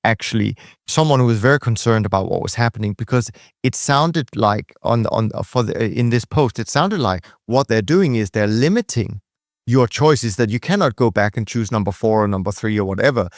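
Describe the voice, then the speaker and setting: A man's voice: fairly low pitch, expressive, normal speed, no echo. Danish guy hosting a podcast, kinda rambly chat, dry close audio. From Denmark. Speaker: podcast host. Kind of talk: conversational interview.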